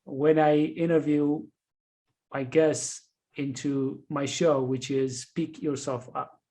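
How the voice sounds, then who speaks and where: Ethiopian-accented man, fairly high voice. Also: flat delivery, slow pace, warm tone. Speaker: guest, leadership coach and author. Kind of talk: interview answers.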